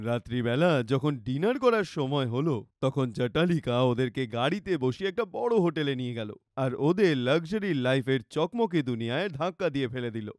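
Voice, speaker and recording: Man, medium pitch, scripted story narration, dry close recording. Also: darkish tone, dry recording.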